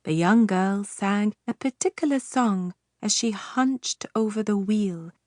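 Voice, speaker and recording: Female fairytale audiobook narrator, unhurried and expressive, mid-range voice, dry studio sound. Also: mid-range voice, no echo. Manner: measured, expressive storytelling.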